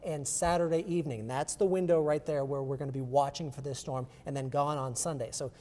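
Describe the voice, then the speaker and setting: A man's voice: a little high, fairly even intonation, brisk, dark tone, in a large hall. An American man delivering a broadcast weather forecast in an explanatory manner.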